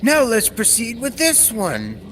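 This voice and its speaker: High-pitched male voice, student actor as earnest excitable inventor, formal technical delivery, radio serial, long reverb, some noise. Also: unhurried, full character voice. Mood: focused. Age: teenager or young adult. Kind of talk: old-time radio serial acting. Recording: reverberant hall, steady background noise.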